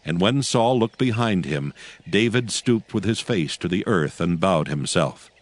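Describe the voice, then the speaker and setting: A man's voice: sits low, expressive, unhurried. A man narrating dramatized scripture as a solemn storyteller.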